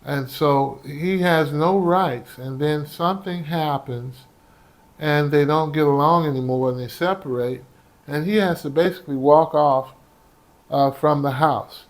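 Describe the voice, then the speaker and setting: A man's voice: on the high side, a little slow. A man co-hosting a vlog and explaining points to viewers. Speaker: vlog co-host.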